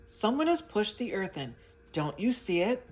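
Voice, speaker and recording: A woman's voice: mid-range voice, sing-song, moderate pace, a little mellow, voice pushed up, natural voice. A woman voicing a fussy, pessimistic little man who complains and wails about everything. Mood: fretful. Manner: whining, complaining, wailing.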